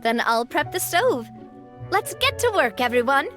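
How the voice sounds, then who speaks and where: A woman playing a gracious, upbeat young female boss in a video game, speaking in a polite, friendly and expressive way. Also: sits high, unhurried. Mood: upbeat, busy. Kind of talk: animated video game voice acting. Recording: soft game music behind.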